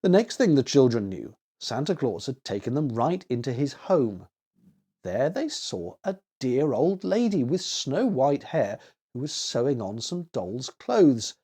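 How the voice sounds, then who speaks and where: A man narrates a children's story in a mid-pitched voice. His delivery is very animated, swinging up and down with expressive, dramatic emphasis. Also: a little slow, husky.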